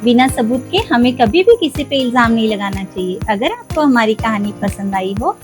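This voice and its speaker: Female narrator for children's moral tales; high-pitched voice over soft music. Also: animated, normal speed. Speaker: storyteller. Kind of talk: scripted children's story narration.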